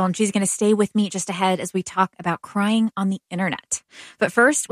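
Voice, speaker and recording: A woman's voice: medium pitch, no echo. A woman hosting a radio interview, conversational and reflective. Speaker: host. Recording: dry, close studio sound.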